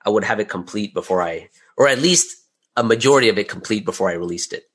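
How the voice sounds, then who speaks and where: A man with a fairly low voice answers questions in an interview. He talks casually and candidly, in a conversational, anecdotal style. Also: animated, fast, fairly bright tone, husky. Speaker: interview guest, audio drama creator.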